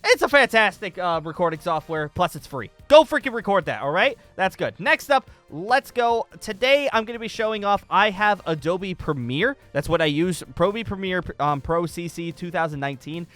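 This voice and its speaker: A man's voice: high voice, animated, normal speed, hardly any pauses, a bit bright, faint music behind. A young man giving a casual, rambling gaming tutorial, repeating phrases for emphasis. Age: young adult. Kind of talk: casual off-the-cuff tutorial commentary over gameplay. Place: indoor room at a computer desk.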